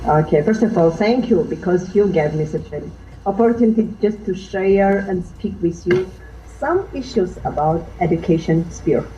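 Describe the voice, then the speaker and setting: Halting Armenian woman, dark voice. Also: lowish voice, animated, lo-fi sound, soft background music. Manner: searching for words, halting. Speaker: guest teacher. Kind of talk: podcast interview.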